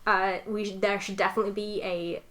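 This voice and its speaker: Medium-pitched podcast guest in casual chat. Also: little pitch movement, fairly quick, a bit bright.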